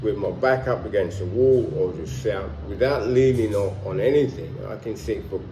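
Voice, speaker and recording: A man's voice: on the low side, moderate pace, reverberant, lo-fi sound, background noise. A man in his sixties with a London accent talking casually to camera in a vlog, rambling with many restarts. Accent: London English. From London, England. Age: 60s. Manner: rambling, stops and restarts, relaxed. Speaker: vlogger. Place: warm room at home, in Colombia.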